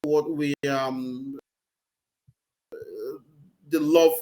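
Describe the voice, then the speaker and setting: A middle-aged Nigerian-accented man speaks in a fairly high voice for a man, heard over a video call from a small room. He pauses and repeats words while he looks for the right phrasing, in an easy conversational way. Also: fairly even intonation, darkish tone. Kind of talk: casual interview conversation. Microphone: video call, laptop or webcam.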